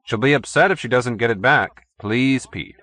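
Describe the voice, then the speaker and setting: A man voicing a gentle old man with a mild, earnest, reasonable way of talking, in an audiobook story. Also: mid-range voice, moderate pace, no room sound, close to an everyday voice.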